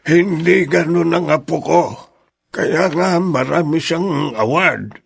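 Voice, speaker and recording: A gravelly, slow old grandfather. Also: on the high side, heavily acted. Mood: stern, indignant. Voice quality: husky, gravelly. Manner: slow, deliberate, earnest. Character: kindly old grandfather, devout, protective of granddaughter. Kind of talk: radio drama acting.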